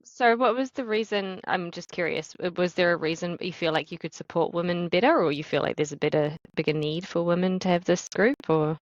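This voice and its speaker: A woman's voice: fairly low pitch, a little fast, hardly any pauses, no room sound, highs cut off. A woman co-hosting a podcast interview, speaking thoughtfully and asking curious questions. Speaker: podcast co-host.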